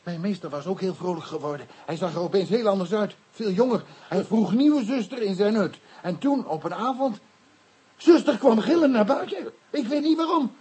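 A man playing a frightened, naive Pygmy mission convert in a radio play, speaking halting, stammering, broken Dutch with inverted word order. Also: high-pitched, expressive, a little mellow, breathy edge, heavily acted. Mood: earnest, confiding.

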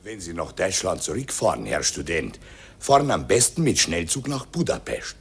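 An actor playing a courteous, practical Hungarian stationmaster with a Hungarian accent, husky voice and broken German in a radio play. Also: male voice, low voice, sing-song, moderate pace, full character voice. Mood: helpful, courteous. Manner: broken German, emphatic swooping intonation.

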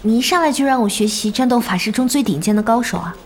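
A woman's voice: on the high side, animated, quick pace, a little mellow, slight background noise, natural voice. A woman acting a polite, easygoing young gaming learner in an audio drama, speaking in an unfussy way. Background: music behind the voice.

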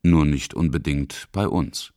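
Very deep-voiced male documentary narrator. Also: measured pace, dark tone, dry recording, studio quality. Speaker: reporter and narrator. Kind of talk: scripted radio documentary narration.

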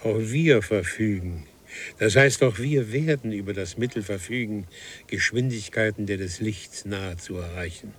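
A man's voice: lowish voice, normal speed, husky. An actor playing a precise, ceremonious traveller from the far future in a radio play, solemn and formal as he explains things. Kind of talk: German radio play acting.

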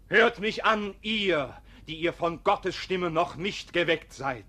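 A fervent preacher calling sinners to repent in a radio play. The voice is high and forceful, with slow, solemn phrases. The oratory is declamatory and accusing. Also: male voice. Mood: stern summons. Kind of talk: German radio play acting.

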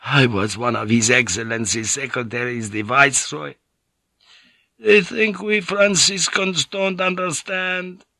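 A man's voice: mid-range voice, measured pace, vocal fry, bright, forceful tone, dry recording, clean studio sound, pitched above the performer's own voice, heavily acted. A man voicing a feverish, bitter old friar who rants breathlessly. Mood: ranting. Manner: agitated, breathless, ranting.

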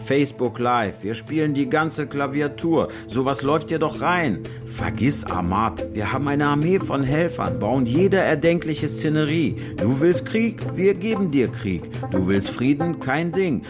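Actor as swaggering, animated propaganda fixer. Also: male voice, medium pitch, unhurried, hardly any pauses, over music, close to an everyday voice. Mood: persuasive, fired up. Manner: persuasive, swaggering. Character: slick, pushy male propaganda fixer selling big promises. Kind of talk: radio drama acting.

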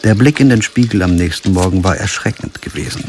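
An actor playing a wry, pragmatic lawyer in a radio crime drama, narrating and speaking in a dry, understated way. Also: male voice, low voice, brisk, darkish tone, a little hiss or hum. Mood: wry, recounting. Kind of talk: scripted first-person narration and radio drama dialogue.

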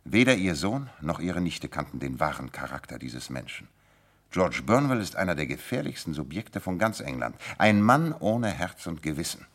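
A deep-voiced detective in a radio play, shrewd, sure of himself, swoops a lot while explaining. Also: male voice, very animated, normal speed, a bit bright, full character voice. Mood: grave, emphatic. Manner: emphatic, explanatory, assured. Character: self-assured male detective, shrewd, commanding. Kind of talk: German radio drama acting.

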